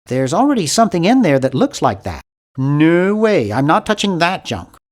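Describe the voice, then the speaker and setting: A bookish young nerd from an adventure game, timid and polite. His nasal voice swings widely up and down in pitch, and he sounds awkward, fussy and earnest in every line. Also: very animated, moderate pace. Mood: hesitant, observant. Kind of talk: video game character voice lines.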